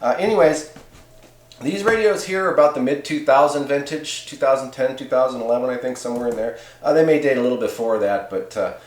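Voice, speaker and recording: A man's voice: medium pitch, a little fast. A man giving a casual, rambling, off-the-cuff product review in a laid-back, colloquial way. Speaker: host of CB radio review videos. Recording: echoey room sound.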